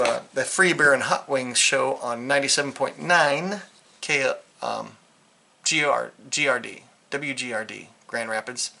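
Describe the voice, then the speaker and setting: Bright-voiced American man, rambling casual review. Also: medium pitch, gravelly, slight background noise. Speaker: vlogger reviewing a radio. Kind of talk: casual unscripted product review, rambling chat. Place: indoors in a concrete-walled bunker.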